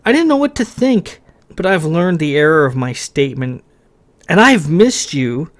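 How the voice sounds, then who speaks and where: A man's voice: on the high side, big pitch swings, moderate pace, pitched above the performer's own voice. A man reading a story, voicing a young man who pleads and apologizes in raised, stumbling bursts. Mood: earnest.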